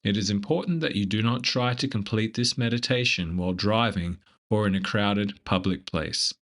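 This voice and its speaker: A man leading a scripted guided meditation in a gentle, measured, instructive manner. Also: on the low side.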